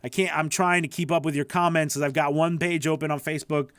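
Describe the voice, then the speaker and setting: A man's voice: on the high side, fairly even intonation, fast, fairly bright tone, clean tone, no room sound, clean studio sound. A man coaching voice-over talent in a casual livestream Q&A, rambling and correcting himself as he goes. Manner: rambling, self-correcting, lots of you know. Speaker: voice-over coach answering live questions.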